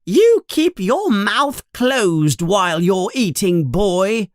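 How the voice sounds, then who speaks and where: A man's voice: very high voice, wildly swooping pitch, slow, fairly bright tone, slightly rough, dry recording, clean studio sound, voice pushed up, full character voice. A man voicing a stern, plump, motherly woman who scolds shrilly and turns sweet with guests. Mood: scolding.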